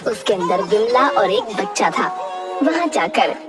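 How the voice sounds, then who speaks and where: A woman's voice: medium pitch, lively intonation, a little slow, distant mic, over loud music. A woman narrating a scripted cartoon story as the storyteller.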